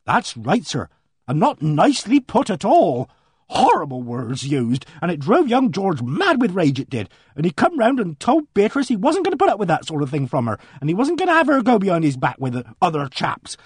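A man's voice: high voice, huge pitch swings, normal speed, breathy edge, no echo, studio quality, voice pushed up, heavily acted. A man voicing an earnest, indignant middle-aged village charwoman who rambles breathlessly. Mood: agitated. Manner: breathless, rambling country speech.

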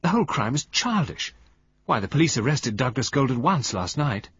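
A man's voice: mid-range voice, very animated, moderate pace, a bit bright, breathy edge, dry recording, voice pushed up, exaggerated voice. A man voicing a distressed, impulsive young Englishwoman who pleads urgently. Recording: dull lo-fi recording.

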